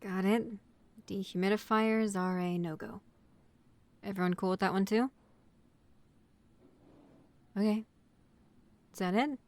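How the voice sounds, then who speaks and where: A woman's voice: mid-range voice, steady tone, unhurried, long pauses, a little mellow, no echo, lightly acted. A woman playing an exasperated, bossy young vampire college student in a scripted roleplay audio drama, talking in an organized, matter-of-fact way like someone chairing a meeting. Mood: organized, considerate.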